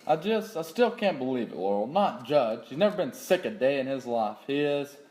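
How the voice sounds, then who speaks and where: A man playing a loyal, grieving old major in an amateur scripted film, halting and emotional. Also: medium pitch, very animated, a little mellow, lightly acted, poor audio. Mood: grief-stricken.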